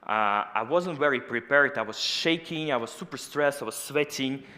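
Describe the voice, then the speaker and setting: Bright-voiced Russian-born man speaking in a hall. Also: mid-range voice, normal speed. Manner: lively, personal storytelling with stage gestures. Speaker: conference speaker. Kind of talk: conference talk. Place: large auditorium stage. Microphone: headset mic. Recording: long hall reverb.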